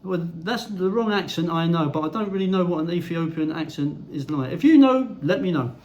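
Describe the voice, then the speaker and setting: A man's voice: a little high, lively intonation, brisk, darkish tone, muffled recording. Blunt, swearing older man. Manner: blunt, heavy swearing, ranting. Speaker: vlogger. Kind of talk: opinionated casual monologue.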